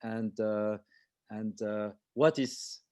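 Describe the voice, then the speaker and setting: Low-voiced guy hosting an interview, lots of uh uh, halting. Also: steady tone, warm tone. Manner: halting, heavy fillers. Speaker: host, co-founder.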